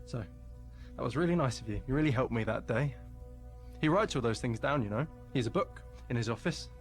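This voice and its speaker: A man's voice: mid-range voice, lively intonation, fairly quick, natural voice. Radio play casting: hedging, put-upon junior male worker, acted by a man, mid-range voice, faint music underneath. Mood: aggrieved. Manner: rambling, hedging. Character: evasive, aggrieved low-ranking male factory worker.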